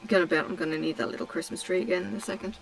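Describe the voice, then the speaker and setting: A middle-aged woman from Tasmania chatting casually while she crafts, answering questions slowly with many ums and pauses. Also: on the low side, fairly even intonation, vocal fry, slight background noise, low-quality recording. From Australia (Tasmania). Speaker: craft vlogger. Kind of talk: relaxed chat while crafting.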